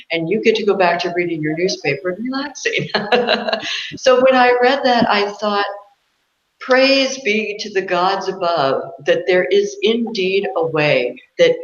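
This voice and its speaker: A woman co-hosting an interview, telling a story in an informal, enthusiastic and expressive conversational way. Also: deep voice. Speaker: interviewer, co-host. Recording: roomy echo, dull lo-fi sound.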